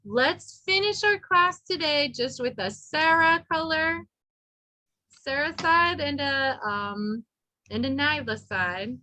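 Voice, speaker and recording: Bright slow-spoken woman teacher, encouraging. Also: sits high, animated. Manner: patient, encouraging, models words slowly. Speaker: English teacher. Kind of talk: teaching an adult English class.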